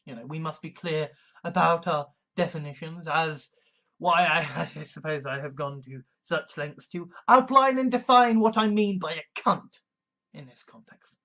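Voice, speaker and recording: Deliberate man, slightly dull audio. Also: a little high, pushed and bright. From England. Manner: measured, deliberate, many restarts and fillers. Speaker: video commentator. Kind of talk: casual monologue.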